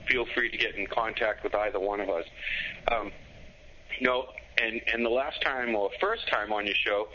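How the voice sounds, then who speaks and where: A man's voice: lowish voice, normal speed, sharp tone, highs cut off, slight background noise. A man appearing as a guest on a talk show, speaking in an easygoing, loosely phrased way. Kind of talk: casual chat, remote guest.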